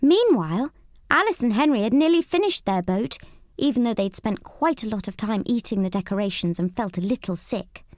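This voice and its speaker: A woman narrating a children's storybook read-along in an expressive, scripted style. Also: on the high side, a little fast, dry recording, clean studio sound.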